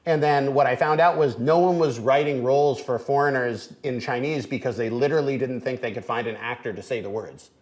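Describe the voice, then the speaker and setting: A man's voice: mid-range voice, fairly quick, few pauses. Easygoing male podcast host. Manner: relaxed, agreeable, frequent short backchannels; slips into bits of spoken Mandarin. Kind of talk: casual interview chat.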